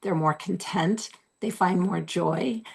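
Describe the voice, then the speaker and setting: A woman in her forties with a slightly low, a little hoarse voice talks straight to camera from a room at home. She coaches in an earnest, encouraging way and repeats her key phrases for weight. It sounds like a webcam recording. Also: slow pace, a little mellow. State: losing her voice. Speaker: host. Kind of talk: motivational self-help talk to camera.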